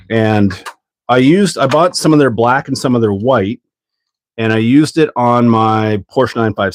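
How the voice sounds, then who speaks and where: A man from Canada with a lowish, congested voice chats loosely to his viewers, trailing into ums and little laughs. He sounds stuffed up from a cold. The sound is dry and close. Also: dry recording. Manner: rambling, relaxed, full of ums and laughs. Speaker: livestream host. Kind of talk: casual livestream chat while building.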